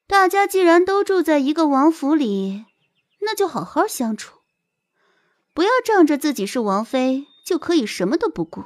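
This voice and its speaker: Woman acting a haughty, high-pitched menacing young concubine. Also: sing-song. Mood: condescending. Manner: sneering, scolding, drawn-out threats. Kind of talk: audio drama character acting.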